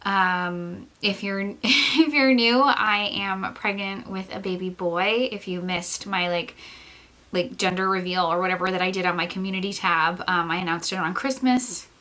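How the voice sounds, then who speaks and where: A woman's voice: mid-range voice, moderate pace, sharp tone. A young woman vlogger chatting casually to camera, stuffy and congested, a little short of breath, rambling with lots of fillers and small laughs. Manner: laughs often between phrases.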